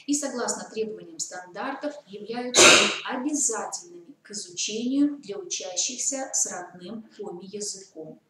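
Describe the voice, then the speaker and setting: A woman giving a formal lecture at a seminar, often pausing with hesitant fillers. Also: medium pitch, lively intonation, a bit bright. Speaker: seminar speaker. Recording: echoey room, mic far off, faint noise.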